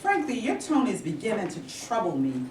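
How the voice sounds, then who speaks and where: An actress in a staged reading playing an earnest, indignant civil rights activist. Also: female voice, mid-range voice, huge pitch swings, moderate pace, a little mellow, distant mic. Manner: pointed, indignant. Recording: echoey room, voice from afar, faint hiss.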